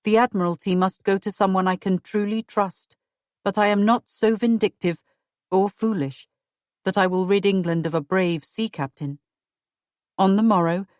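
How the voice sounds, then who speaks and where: Woman doing a bossy tudor queen, formal and measured, dry close sound. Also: medium pitch, steady tone, a little mellow, no echo, natural voice. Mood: commanding. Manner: commanding, measured royal speech. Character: stern middle-aged Tudor queen, imperious and formal.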